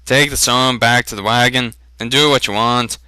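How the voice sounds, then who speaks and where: Man acting a gruff, skeptical farmer uncle. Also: mid-range voice, steady tone, moderate pace, pushed and bright, no echo. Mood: decisive. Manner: growling, blunt.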